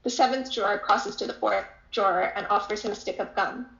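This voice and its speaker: A woman's voice: mid-range voice, a little fast, creaky, a bit bright. Woman narrator, echoey muffled recording. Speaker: narrator reading stage directions. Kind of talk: scripted narration. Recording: echoey room, dull lo-fi audio.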